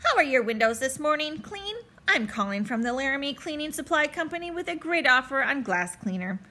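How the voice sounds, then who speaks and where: A woman's voice: high voice, big pitch swings, fairly quick, sharp tone, voice pushed up, full character voice. A woman voicing a chipper male salesman with an English accent, reading a storybook aloud. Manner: chipper, polite.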